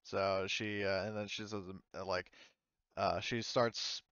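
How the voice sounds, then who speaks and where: A man running a tabletop game, improvising narration in a casual, halting, meandering way. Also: low-pitched, level tone, fairly bright tone, clear, resonant tone, dry recording, muffled recording. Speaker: game master.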